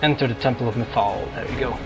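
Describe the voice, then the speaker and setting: A man giving casual, halting gameplay commentary as he hosts a gaming video. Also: mid-range voice, vocal fry, poor audio. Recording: game music and battle noise behind. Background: video game music and combat sounds.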